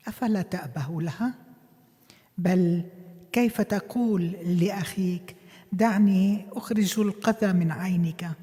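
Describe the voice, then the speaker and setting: A woman whose first language is Arabic, reading scripture aloud at a church service in a quick, steady recitation. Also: on the low side. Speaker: scripture reader. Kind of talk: liturgical Bible reading. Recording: long reverb of a large hall.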